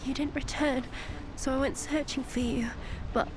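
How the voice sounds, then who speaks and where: An actress playing a gentle, anxious young woman in a video game, earnest and hesitant, with ambient noise behind her. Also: high voice, steady tone, moderate pace, lightly acted. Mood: shaken, sorrowful. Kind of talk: dramatic video game voice acting. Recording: clear background ambient noise.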